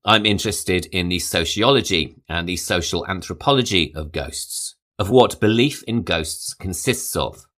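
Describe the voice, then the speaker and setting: Deep-voiced man narrating with lively pitch. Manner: measured, reads quotes aloud. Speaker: podcast host. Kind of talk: scripted film history narration.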